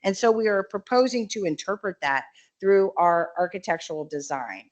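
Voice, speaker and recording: Low-voiced woman presenting at a meeting. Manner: measured, explanatory, with frequent fillers. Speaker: developer representative presenting a project. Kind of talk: formal presentation at a public meeting. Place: county meeting room.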